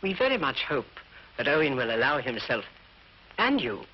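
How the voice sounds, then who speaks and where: Stately sister, muffled hissy old film. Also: female voice, very deep voice, sing-song. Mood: stately, controlled. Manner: formal, gracious, measured wording. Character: imperious, courteous older sister hosting with icy formality. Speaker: film actress. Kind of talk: 1940s British film melodrama acting.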